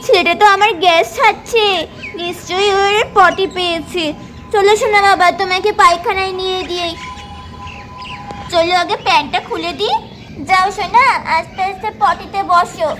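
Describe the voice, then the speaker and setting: A woman's voice: very high-pitched, fairly even intonation, moderate pace, background noise. A woman playing a fussy, loving young village mother in a doll cartoon, coaxing and scolding with many exclamations. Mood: coaxing, fretting. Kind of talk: doll-play cartoon story acting.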